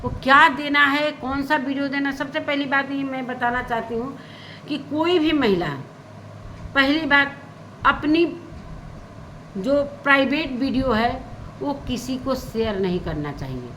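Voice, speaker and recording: High-voiced woman giving casual vlog commentary. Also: a little slow, sharp tone, slight background noise, rough recording. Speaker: vlogger commentator. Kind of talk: casual spoken commentary on social media gossip.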